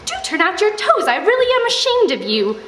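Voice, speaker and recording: A man's voice: very high-pitched, wildly swooping pitch, brisk, bright, forceful tone, voice pushed up, heavily acted. A man voicing a breathless society lady at a ball, chattering in exclamations. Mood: gushing. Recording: echoey room, mic far off.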